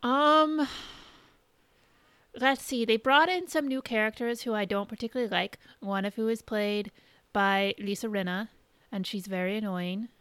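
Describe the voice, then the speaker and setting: A woman podcast co-host chatting casually in a halting, conversational way, recapping what she has been watching. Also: medium pitch, fairly bright tone, clear, resonant tone, no room sound. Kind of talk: casual chat, recapping shows and films.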